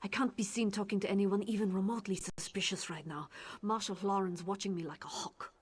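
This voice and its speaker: A hushed, wary guard captain. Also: male voice, high voice, steady tone, fairly quick, husky. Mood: hushed urgent. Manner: hushed, conspiratorial, urgent. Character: guarded middle-aged male town guard captain. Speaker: game character. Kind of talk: studio video game voice acting.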